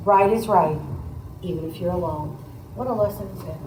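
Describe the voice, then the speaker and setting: A woman teacher reading a picture book aloud, pausing to comment and put questions to her listeners in a conversational way. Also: lowish voice. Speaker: teacher demonstrating a read aloud to pupils. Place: echoey indoor classroom. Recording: roomy, distant mic, faint background noise.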